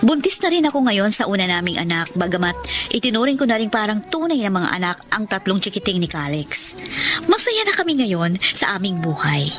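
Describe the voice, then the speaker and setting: A woman's voice: mid-range voice, sing-song, a little fast, a bit bright. An actress playing a tender middle-aged woman in love in a Filipino radio romance drama, emotional and hesitant. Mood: happy, reflective. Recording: dramatic music behind the voice.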